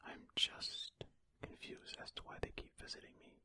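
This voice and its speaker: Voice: moderate pace, sharp tone. An ASMR host whispering ear to ear in a tentative, musing way, reading and commenting close to the microphone. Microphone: close stereo ASMR microphone.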